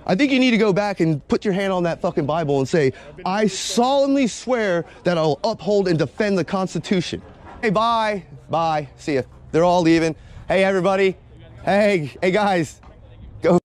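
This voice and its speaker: An American man in his forties narrating footage casually, in a confrontational, sarcastic manner with plenty of swearing. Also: a little high. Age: 40s. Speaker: video blogger, police auditor.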